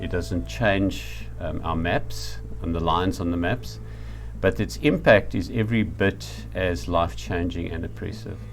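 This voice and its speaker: This is a mature South African man with a low-pitched voice. He speaks in a reflective lecturing style, deliberate and emphatic in how he lays out each point. Faint music sits behind his words. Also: vocal fry. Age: middle-aged or older.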